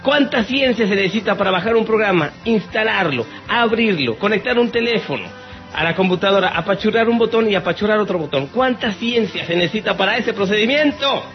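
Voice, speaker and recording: A man's voice: high-pitched, animated, fairly quick. A Mexican man with a playful, theatrical way of talking, chatting casually as a podcast host answering listener questions. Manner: theatrical, mock-exasperated, playful. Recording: dull lo-fi radio sound, music behind. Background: music.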